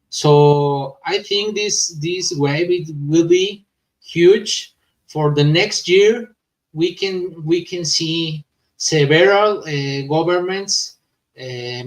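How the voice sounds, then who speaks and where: Slow, halting Spanish-accented man, distant mic. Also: fairly high pitch. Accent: Spanish-speaking. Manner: halting, stumbling non-native English. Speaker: host of a crypto news vlog. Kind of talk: reading news with informal commentary.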